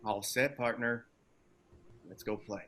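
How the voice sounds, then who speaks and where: A cocky, casual male activist with a mid-pitched voice, played by a man at a table read. He talks with easy swagger. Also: fairly even intonation, takes it slowly, fairly bright tone. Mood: cool bravado. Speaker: actor in a screenplay table read.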